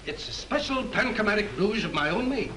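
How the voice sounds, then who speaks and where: A precise, courteous makeup man in an old 1930s movie. The voice sits fairly high and moves up and down a lot, formal in phrasing, with faint soundtrack hiss behind it. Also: expressive, a little fast, a bit bright, poor audio. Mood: polite, guarded. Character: precise, polite studio makeup man, proud of his craft. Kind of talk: 1930s film acting. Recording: hissy early 1930s film soundtrack.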